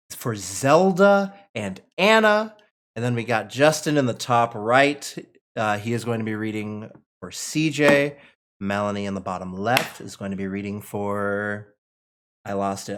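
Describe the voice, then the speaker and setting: A young man hosting casually on a livestream, rambling and correcting himself as he explains and narrates. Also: medium pitch. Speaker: host and aspiring screenwriter reading stage directions.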